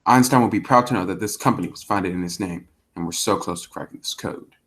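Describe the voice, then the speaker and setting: A man's voice: sits low, fast, fairly bright tone. A man acting a stern, demanding company boss in an amateur radio play, formal and authoritative.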